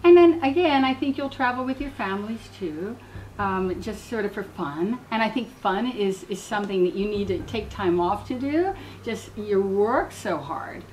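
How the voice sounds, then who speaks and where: A woman's voice: mid-range voice, lively intonation, normal speed, a little mellow, slight background noise, rough recording. A woman giving a psychic reading in an encouraging, affirming way. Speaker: psychic reader.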